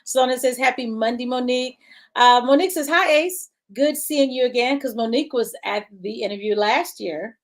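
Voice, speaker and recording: A woman's voice: a little high, moderate pace. A woman hosting a casual live-stream chat, bubbly, laughing often and reading viewer comments aloud. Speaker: show host.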